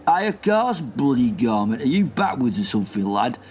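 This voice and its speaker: A man's voice: mid-range voice, sing-song, moderate pace, a little mellow, a little hiss or hum, lightly acted. A man playing a grumpy, rambling old war veteran who curses constantly. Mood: cantankerous. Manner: rambling, cursing, confused. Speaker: comic character.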